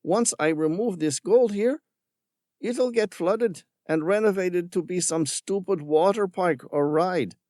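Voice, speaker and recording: A man's voice: high voice, unhurried, warm tone, dry recording, clean studio sound, pitched above the performer's own voice. A man voicing a greedy, sarcastic male villain in an audiobook, with a patronizing, mocking manner and an affected Spanish-tinged accent. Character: sneering, greedy male villain caretaker with gun. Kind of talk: audiobook character voice.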